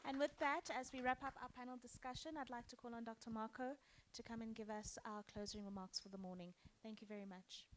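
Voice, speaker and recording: Woman host, higher pitch, faint background noise, formal announcements. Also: steady tone, fast talker, few pauses, a bit bright. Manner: polite, formal master-of-ceremonies delivery. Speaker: event host.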